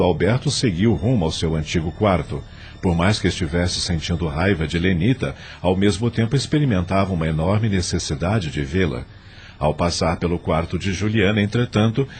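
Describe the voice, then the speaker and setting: A man's voice: deep voice, animated, moderate pace, a little mellow, a little hiss or hum. A man with a Brazilian accent narrating an audio novel as scripted, dramatic storytelling.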